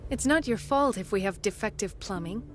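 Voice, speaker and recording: A woman's voice: mid-range voice, expressive, brisk, slight background noise, close to an everyday voice. An actress voicing a weary, conscientious woman doctor in scripted video game dialogue. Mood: thoughtful, sympathetic. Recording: music behind the voice. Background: background music.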